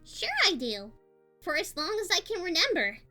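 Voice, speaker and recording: A woman voicing a cheerful, hyper young female party pony in a fan fiction audio story, girlish and expressive. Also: moderate pace, exaggerated voice. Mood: cheerful, bubbly. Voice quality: high-pitched, girlish. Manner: bubbly, expressive. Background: music.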